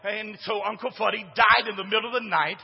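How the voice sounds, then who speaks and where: Booming preacher in a reverberant hall. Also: male voice, steady tone, a little fast, vocal fry, slightly rough, lo-fi sound, soft background music. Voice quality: big booming voice. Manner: preaching, swings from hushed to shouting, calls for responses from the congregation. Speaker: pastor. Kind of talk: sermon. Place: church sanctuary.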